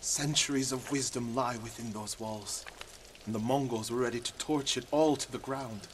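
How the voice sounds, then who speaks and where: An actor playing a loyal, burdened warrior monk in a video game, with an earnest, heartfelt and weighty delivery. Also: male voice, medium pitch, moderate pace, background noise, natural voice. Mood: outraged. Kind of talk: dramatic video game acting.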